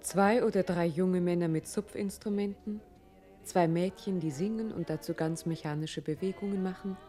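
A woman narrating a radio play in a measured, literary and descriptive way. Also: on the low side, fairly even intonation, mellow, soft background music.

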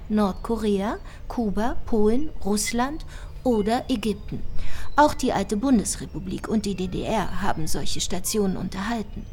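A woman's voice: mid-range voice, darkish tone, close to an everyday voice. Didactic actress as female investigator, faint noise. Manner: didactic, digressive. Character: knowledgeable woman investigator explaining clues at length. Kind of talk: radio play dialogue.

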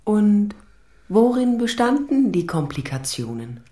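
A woman's voice: mid-range voice, very animated, takes it slowly, dark tone, roomy sound. An actress playing a genteel, probing society lady, polite and pointed, in crime radio drama dialogue.

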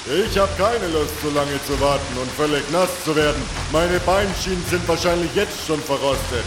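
A man's voice: fairly high pitch, normal speed, natural voice. A man playing a grumbling armoured adventurer in a fantasy audio drama, with a dry, complaining manner. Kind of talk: German fan audio drama acting. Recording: echoey, watery background noise.

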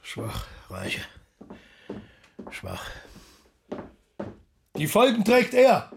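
An actor playing a demanding, impatient baroque composer and bandleader in a German radio drama, urgent and exclamatory, with a husky, gravelly voice. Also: male voice, high voice, wildly swooping pitch, extremely slow, slight background noise, full character voice. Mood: bitter.

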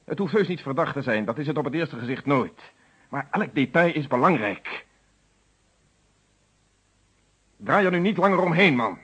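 Stern, methodical police inspector. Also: male voice, on the high side, lively intonation, brisk, fairly bright tone, slightly rough. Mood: accusing, insistent. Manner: formal, insistent, probing. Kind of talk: radio play acting.

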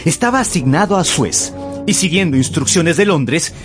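A man's voice: on the high side, expressive, normal speed. A man narrating a radio drama with theatrical, emphatic storytelling. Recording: music behind the voice.

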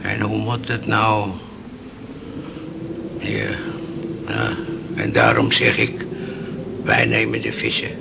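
Slow, gravelly old fisherman, noisy recording. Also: very deep voice, very animated, full character voice. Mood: pious musing. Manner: rambling, folksy, hesitant, pausing. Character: old fisherman, slow, pious, fatalistic, musing. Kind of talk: old Dutch radio play acting.

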